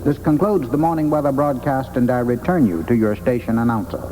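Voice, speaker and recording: A man's voice: mid-range voice, a little fast, dark tone, soft background music, low-quality recording. An American man reading a scripted weather forecast for an old radio broadcast. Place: airport weather office. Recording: old radio relay with faint noise.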